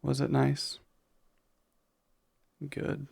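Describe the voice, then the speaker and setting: A man playing a gentle, protective vampire caretaker in a soft ASMR roleplay, soothing and a little hesitant, with formal wording. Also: medium pitch, completely flat delivery, extremely slow, vocal fry, slightly rough, exaggerated voice. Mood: gentle, attentive.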